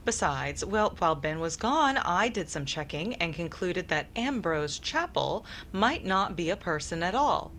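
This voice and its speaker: A woman's voice: lowish voice, very animated, measured pace, few pauses, fairly bright tone, slight background noise, full character voice. A woman playing a composed, articulate doctor's wife recounting events in a 1950s-style radio comedy. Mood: composed, earnest.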